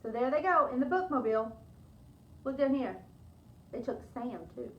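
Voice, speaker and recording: Female library storyteller: high, very expressive, chatty with young listeners, roomy slightly muffled sound. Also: moderate pace, distant mic. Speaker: library storyteller reading aloud. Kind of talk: animated children's storybook read-aloud. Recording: echoey room, slightly dull sound.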